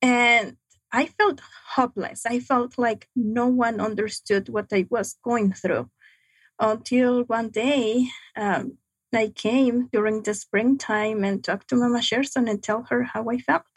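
Slow, halting young woman, second-language English. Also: a little high, slightly rough, dry recording, low-quality recording. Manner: halting, reflective, frequent pauses. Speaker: guest. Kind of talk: personal testimony in an interview.

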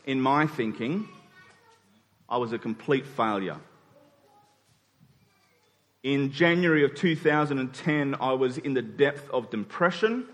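A man in his forties with an Australian accent, preaching a sermon in a conversational, reflective storytelling way with pauses for emphasis. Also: medium pitch. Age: 40s. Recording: long hall reverb.

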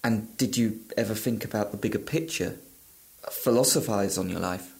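A man's voice: on the low side, normal speed, vocal fry. An actor playing a polite, uneasy male interviewer and squeamish dinner guest, speaking hesitantly in a radio drama. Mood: polite, curious.

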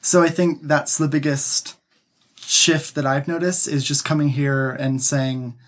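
A man's voice: on the high side, level tone, a little slow. A young man, a guest on a podcast, chatting in an easygoing way. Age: young adult. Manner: easygoing, frequent "yeah" and "mm-hmm". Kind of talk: casual interview chat.

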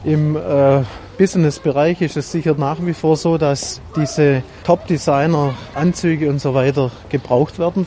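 A man's voice: fairly high pitch, mellow. A man, a town official, explaining things matter-of-factly during an outdoor interview on a walking tour.

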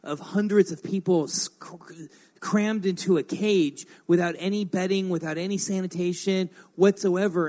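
A man with a somewhat high voice speaks as a regular on a radio discussion program. He is opinionated and emphatic, building his argument one step after another. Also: a little mellow.

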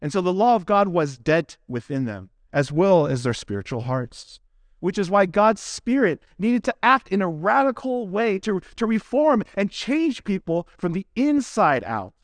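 A man with a notably high voice delivers a sermon. He is earnest and instructive, laying out each phrase deliberately and putting questions to his listeners. The sound is dry, with very little room echo. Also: sing-song. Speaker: preacher. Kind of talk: expository sermon delivered from prepared notes.

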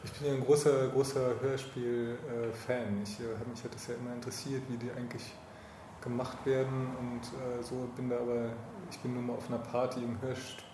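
A man's voice: medium pitch, little pitch movement, brisk. A man conducting a casual interview, informal and searching, often rephrasing his questions midway. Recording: echoey room, clear background noise.

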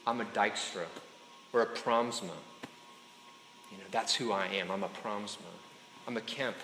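A man preaches to a crowd in a relaxed, talky way and keeps checking in with his listeners. His voice is bright and forceful. The echoey hall rings long behind him, with faint room noise underneath. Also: medium pitch. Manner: chatty, keeps checking in with "right?" and "okay?"; joking asides to the crowd. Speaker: speaker addressing students. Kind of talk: casual conversational sermon.

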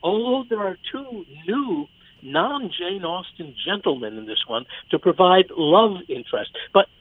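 A man reviewing theater conversationally on the radio, articulate and measured, as a critic. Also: high-pitched, animated, dry recording. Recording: thin phone-like sound.

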